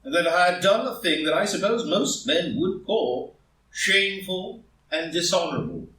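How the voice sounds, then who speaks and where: An echoey, distant recording of a man acting a proud, troubled gentleman in a stage drama. His formal speech is impassioned and fairly high, swinging widely in pitch. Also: animated, moderate pace. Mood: defensive, agitated. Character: anguished, proud, ambitious married gentleman politician. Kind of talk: live group play reading. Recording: roomy echo, far from the mic.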